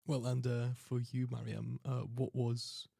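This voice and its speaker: A man's voice: medium pitch, monotone, a little slow, vocal fry, mellow, husky, dry recording, clean studio sound. A young man hosting a student podcast, reading scripted links and asking questions with some hesitant restarts. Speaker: podcast host.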